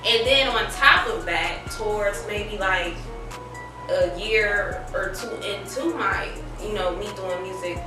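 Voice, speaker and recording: A woman from Ohio, a rapper and radio personality with a high, girlish baby voice, chatting casually in streetwise slang with lots of fillers. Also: slow, heavy reverb, recorded from a distance, music in the background. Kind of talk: casual interview chat.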